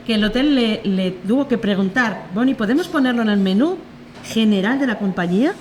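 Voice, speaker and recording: A woman's voice: fairly high pitch, animated, a little fast. A woman, a small business owner explaining at length as a podcast guest. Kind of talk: casual podcast conversation. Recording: crowd noise behind.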